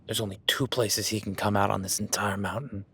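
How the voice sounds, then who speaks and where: A gruff cowboy hunter, voiced by a man, who barks orders and swears freely. His voice is husky and breathy, with a tight, tense edge to it. He drops to hushed whispers when stalking. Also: fairly low pitch, steady tone, fairly quick, exaggerated voice. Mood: determined. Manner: swearing, commanding, urgent. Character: gruff rugged cowboy hunter tracking a beast. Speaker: ranch hand tracking a beast. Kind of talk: audio roleplay.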